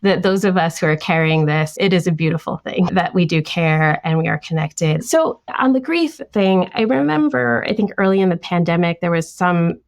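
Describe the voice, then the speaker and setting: A woman's voice: on the low side, normal speed, gravelly, hardly any pauses. A woman author whose first language is Tamil, talking reflectively in a podcast interview. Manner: thoughtful, lively, reads passages aloud. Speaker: author guest.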